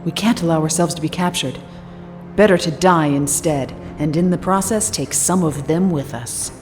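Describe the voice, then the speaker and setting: A woman's voice: low voice, normal speed, darkish tone, soft background music, close to an everyday voice. A woman playing a confident, fanatical militant villain in an audio drama, controlled and assured. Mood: grimly resolute.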